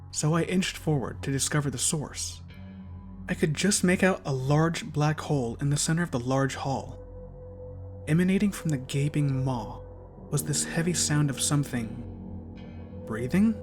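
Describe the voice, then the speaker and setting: A man narrates a short horror tale in the first person. His voice sits a little higher than most men's, and he delivers it with suspense and theatrical drama. A soundtrack plays clearly behind him. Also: expressive, measured pace, a little mellow. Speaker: storyteller reading short horror fiction. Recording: music behind the voice. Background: clear background soundtrack music.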